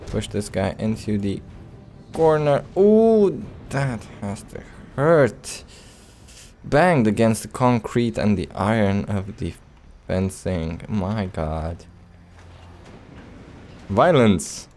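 A man's voice: lowish voice, animated, takes it slowly, a little mellow, soft background music. A man doing casual gameplay commentary with a hesitant, rambling, playful delivery. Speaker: let's play host.

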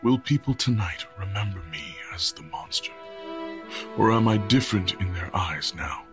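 An actor playing a bitter, wounded exile in a halting, intense audio drama monologue. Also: male voice, sits low, animated, music in the background. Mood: brooding.

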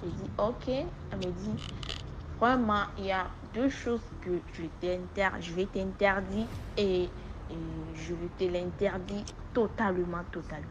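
A woman from Togo with a West African accent, speaking French as an additional language and giving an informal testimony in an animated storytelling way. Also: mid-range voice, unhurried, noisy background. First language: not French. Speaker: testimony giver. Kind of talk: informal spoken personal testimony.

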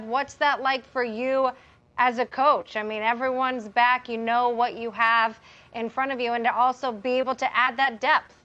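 Bright-voiced woman hosting a sports show. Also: on the high side, highs cut off. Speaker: studio host. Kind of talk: broadcast hosting. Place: TV studio.

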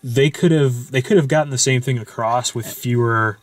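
A man's voice: mid-range voice, moderate pace, dry recording. A young man chatting casually about a film in a parked car at night, talking loosely with many fillers and restarts. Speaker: movie discussion host.

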